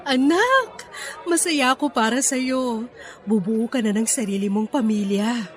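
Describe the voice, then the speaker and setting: A doting, expressive Filipino mother. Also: a little high, measured pace, faint music behind, a faint crowd. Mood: tender, teasing. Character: doting, proud older Filipino mother of a pilot. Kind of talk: Filipino radio drama acting.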